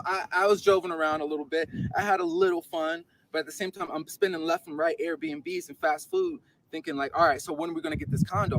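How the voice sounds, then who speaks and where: A man from Los Angeles earnestly telling a long, rambling story on a casual podcast, his voice jumping up when he gets animated. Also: on the high side, gravelly, a little hiss or hum, rough recording.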